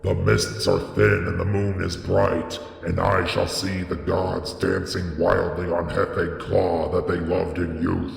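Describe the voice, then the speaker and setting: An arrogant, ecstatic prophet from a gothic horror audiobook, acted out by a man, cries out grandly and loudly. His slow, gravelly declamation rings through a deep, echoing reverb. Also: little pitch movement, soft background music, heavily acted. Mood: exultant. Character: Barzai, arrogant old prophet crying out in mad ecstasy. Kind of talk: dramatic gothic horror storytelling. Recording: echoey hall with long reverb.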